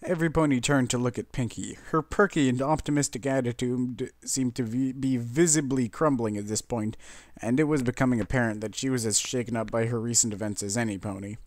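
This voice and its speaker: A man's voice: medium pitch, a little fast, darkish tone, dry recording. A man reading scripted story narration as a fan fiction reader.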